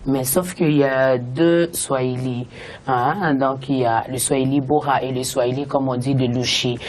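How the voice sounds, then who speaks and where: Une chanteuse de gospel originaire du Katanga, invitée d'une interview, qui parle de façon hésitante avec beaucoup d'hésitations. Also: female voice, rumbling low, steady tone, moderate pace, a little mellow, a little hiss or hum. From Katanga, DR Congo. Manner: hesitant, many fillers, laughs often. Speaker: gospel singer, guest. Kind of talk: casual interview chat, switching languages.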